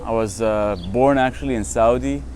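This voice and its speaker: A man in his thirties with a Lebanese accent, an engineer speaking in a measured, hesitant way with fillers in a documentary interview. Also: medium pitch, fairly even intonation, noisy background. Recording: soft music under the voice.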